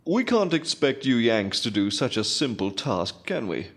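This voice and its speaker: An actor playing a haughty, world-weary British radio announcer in a comedic audio drama. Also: male voice, medium pitch, big pitch swings, a little slow. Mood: disdainful. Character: snooty, disdainful British male radio announcer. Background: music.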